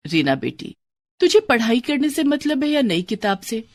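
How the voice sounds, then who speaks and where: A woman as firm poor mother, instructive, high pitch, storybook dialogue, dry recording. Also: very animated, moderate pace, dry recording. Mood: firm, reasoning. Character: sensible, firm poor mother reasoning gently.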